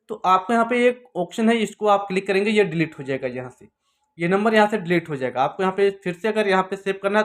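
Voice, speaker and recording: High-pitched man giving instructions. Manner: repetitive, instructional phrasing. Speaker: tech tutorial presenter. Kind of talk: step-by-step phone tutorial narration.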